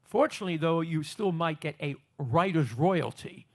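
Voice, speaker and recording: A man's voice: on the high side, animated, normal speed. A man interviewing veteran singers in a casual chat, talkative and explaining music business history at length. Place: on a cruise ship.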